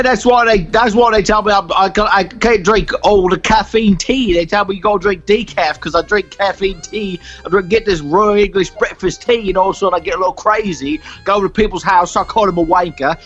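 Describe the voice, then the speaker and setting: A man's voice: sits high, fairly bright tone. A man voicing an eccentric old man who confesses to murder with cheeky glee, in an improvised radio drama parody. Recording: dull lo-fi sound, music behind.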